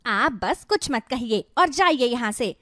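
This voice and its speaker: A woman's voice: a little high, lively intonation, a little slow, fairly bright tone, no echo, clean studio sound. A woman voicing an elderly wife and mother, jealous and complaining, in a querulous, dramatic way for a cartoon story. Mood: pleading, annoyed. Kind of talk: cartoon moral story voice acting.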